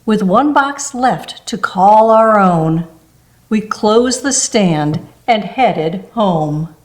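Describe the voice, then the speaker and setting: A woman reading a rhyming children's picture book aloud, gentle and unhurried, with small asides between the pages. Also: medium pitch, expressive. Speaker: storybook reader.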